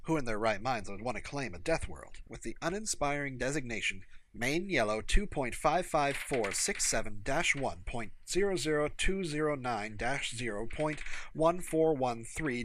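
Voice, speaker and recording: A man's voice: mid-range voice, lively intonation, moderate pace, sharp tone, slight background noise. A man reading scripted fiction as an audiobook narrator.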